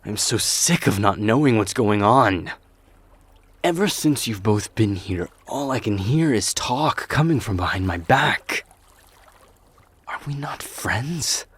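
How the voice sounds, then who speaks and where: Deep voice, man acting as earnest warrior king, measured pace, solemn, heartfelt, audio roleplay. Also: lively intonation, a bit bright, breathy edge, no echo, exaggerated voice. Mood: hurt, demanding.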